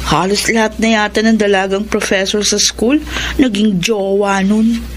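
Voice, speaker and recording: A woman's voice: medium pitch, steady tone, normal speed, a little hiss or hum, lightly acted. An actress with a Filipino accent playing a gossipy young female confidante in a radio drama. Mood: gossipy, teasing.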